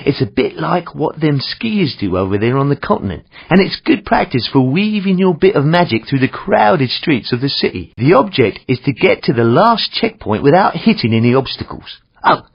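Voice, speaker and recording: An actor playing a chatty older London cabbie and instructor with a Cockney accent, cheeky, blunt and wry, in a video game. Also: male voice, on the high side, very animated, normal speed, gravelly, few pauses, breathy edge, dull audio, exaggerated voice. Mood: instructive, jaunty. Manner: colloquial slang, wry, theatrical. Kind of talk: scripted game instruction and feedback.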